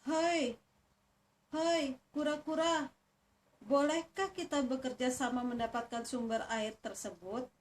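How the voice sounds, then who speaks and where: A woman's voice: high-pitched, steady tone, slow pace. A woman voicing a curious, trusting but hesitant elephant in a children's fable, with a friendly questioning manner. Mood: eager. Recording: distant mic, faint noise.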